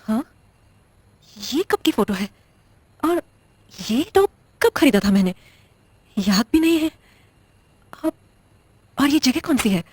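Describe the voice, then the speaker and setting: Actress as anxious young widow for horror audio drama: high voice, slightly husky, hesitant and questioning. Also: female voice, very animated, a little mellow, no room sound, full character voice. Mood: suspicious, puzzled. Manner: hesitant, halting, muttering questions to herself. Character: uneasy, confused young widow in a horror story.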